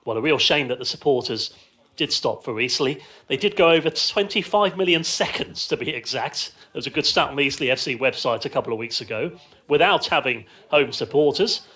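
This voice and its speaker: A man's voice: mid-range voice, a little fast, bright, forceful tone. A man giving live radio football commentary from a stand, describing play in short, clipped phrases. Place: outdoors in a football ground stand.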